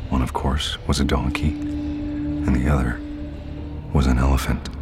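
An actor playing an anxious, earnest young night-shift security guard in an audio drama, low and subdued, with music behind him. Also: male voice, little pitch movement, a little slow, heavy background noise. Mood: sombre narration. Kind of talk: audio fiction acting and first-person narration.

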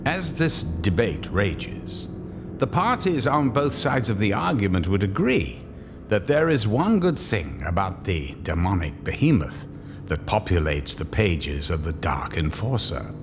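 A man's voice: lowish voice, very animated, unhurried, background noise. A man playing an ominous horror storyteller, narrating a radio drama dramatically with reverb and music. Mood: grave. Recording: long hall reverb, music behind.

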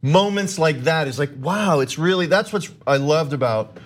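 A man's voice: a little high, animated, moderate pace. A man chatting as a podcast guest, rambling with enthusiasm and filling his talk with "like" and restarts. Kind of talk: casual chat.

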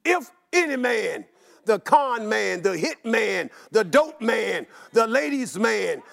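A man preaching a sermon in a rhythmic chant, running through long repeated lists and rising into shouts. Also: sits high, very animated, slow, vocal fry.

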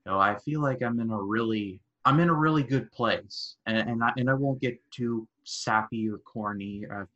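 Bright-voiced young man, lo-fi podcast sound. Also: mid-range voice, moderate pace. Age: 20s. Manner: rambling, jokey, self-deprecating puns. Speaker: podcast co-host. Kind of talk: casual chat.

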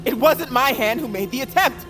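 A woman's voice: high voice, expressive, moderate pace, music in the background. A woman playing a young bird-folk assassin held prisoner in an audio drama, strained and insistent. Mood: defiant, disbelieving.

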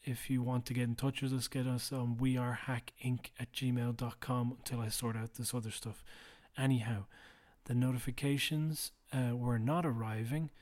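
A tired man hosting a podcast late at night, speaking low and quiet in a rambling, self-deprecating way. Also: flat delivery, normal speed, few pauses. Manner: rambling, self-deprecating, drops to a mutter at times. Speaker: podcast host. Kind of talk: casual solo housekeeping chat.

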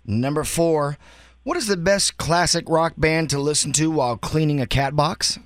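A man's voice: on the high side, normal speed, no room sound, clean studio sound. A man hosting a casual radio game, reading out quiz questions. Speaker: radio show host, quizmaster. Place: dry radio studio.

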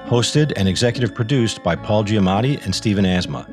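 A man's voice: fairly low pitch, expressive, brisk. A man reading scripted podcast credits as an announcer. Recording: music behind the voice. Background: guitar music.

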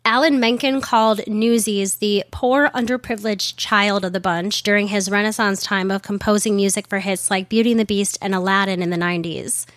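A woman's voice: medium pitch, fairly even intonation, fairly quick, hardly any pauses, dry recording, clean studio sound. A woman hosting a casual history podcast, chatty with wry asides, her voice slightly worn from being a little sick. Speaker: podcast host.

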